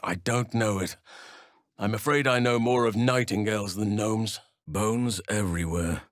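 An actor playing a gentle, solemn, protective elf druid in a fantasy video game, speaking in measured, earnest, formal phrases. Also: male voice, lowish voice, no echo, studio quality, lightly acted. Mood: calm, thoughtful.

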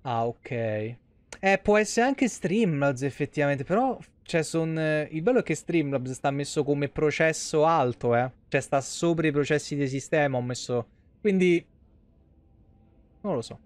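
A young man chats through a game stream in a fairly high voice. The sound is dry, and his talk is colloquial and peppered with slang and swearing. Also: unhurried, no room sound. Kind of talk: casual live game commentary. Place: small indoor room, webcam stream.

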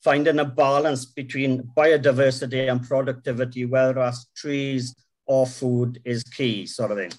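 A man's voice: mid-range voice, steady tone, normal speed, a little mellow. A middle-aged Welsh man with a Welsh accent, a farmer giving a plain-spoken, earnest talk from notes over a video call, with frequent ums. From Wales. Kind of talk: prepared talk read from notes. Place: home room with a window. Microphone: video call webcam.

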